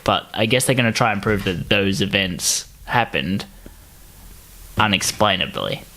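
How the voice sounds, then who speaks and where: A man co-hosting a podcast in casual banter, excitable and sweary, often mock-indignant. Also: on the low side, unhurried. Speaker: podcast co-host.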